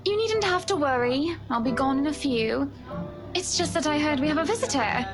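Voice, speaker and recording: Casting: actress as elegant, teasing wife in a visual novel, high voice, polite but firm and coaxing. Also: female voice, lively intonation, moderate pace, music in the background. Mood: gracious. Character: gracious, poised upper-class wife, gently teasing and firm. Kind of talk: visual novel character acting.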